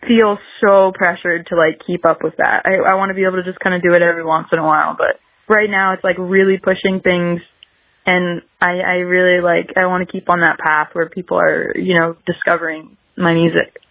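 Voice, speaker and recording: A woman's voice: fairly low pitch, flat delivery, a little fast, dry recording, phone-quality sound. A woman chatting casually as a musician guest in an interview, rambling and peppering her talk with "like". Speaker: guest musician, singer-songwriter.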